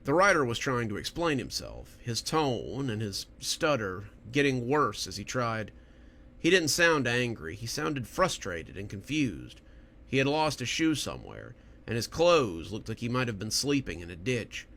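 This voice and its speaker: A man hosts and narrates spooky stories. His voice is mid-pitched with lively, wide-swinging intonation, made for storytelling. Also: unhurried, a little hiss or hum. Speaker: horror story narrator and channel host. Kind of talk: scripted horror storytelling narration.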